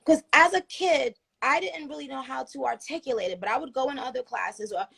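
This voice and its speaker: A woman in her thirties from Trinidad chats on a phone livestream, earnest and rambling, her thoughts trailing into restarts. Her voice sits fairly high but has a rough, husky grain with plenty of fry. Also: a little fast, a bit bright. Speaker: livestream host. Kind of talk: casual chat on a livestream.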